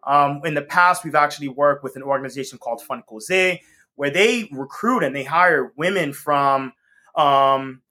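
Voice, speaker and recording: A man's voice: fairly high pitch, moderate pace, sharp tone. A man from the US Northeast, a company co-founder, chatting enthusiastically as an interview guest with frequent laughs and ums. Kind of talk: casual interview chat.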